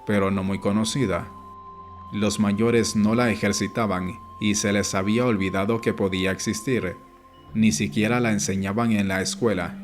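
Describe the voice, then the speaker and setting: A man reading an audiobook as scripted narration, in a measured, instructive way. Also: lowish voice. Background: background music.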